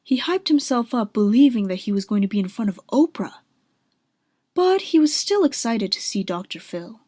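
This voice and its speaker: A woman's voice: a little high, expressive, moderate pace, mellow, dry recording, clean studio sound. A woman reading scripted narration for a radio drama.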